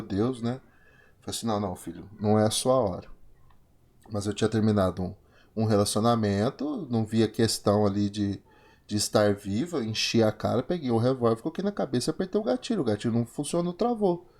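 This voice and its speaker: A man's voice: lowish voice, dark tone. A Brazilian man in his forties giving an emphatic motivational monologue, asking rhetorical questions and repeating key points. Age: 40s. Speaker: trading course host.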